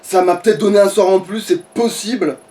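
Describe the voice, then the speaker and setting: A man's voice: sits high, fairly even intonation, brisk, warm tone, soft background music. Distant-sounding man grumbling through a game. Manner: foul-mouthed, grumbling, thinking aloud. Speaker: gaming streamer. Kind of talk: casual let's play commentary. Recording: distant mic with background noise.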